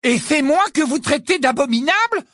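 Man as an indignant, high-pitched teenage boy. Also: animated, normal speed, fairly bright tone, no echo, studio quality. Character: indignant, hot-tempered teenage wizard boy.

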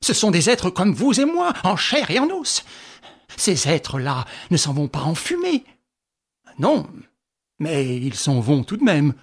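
An audiobook narrator voicing a stubborn, excitable veteran police inspector who talks in agitated, insistent bursts. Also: medium pitch, slow pace, long pauses.

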